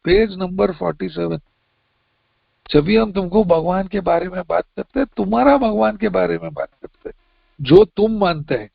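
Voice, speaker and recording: Man, Mumbai accent, high and gravelly, plain testimony share, dull dry lo-fi audio. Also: normal speed, no room sound. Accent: Mumbai Hindi. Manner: plain, conversational, mixes in English phrases. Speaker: recovering member sharing at a meeting.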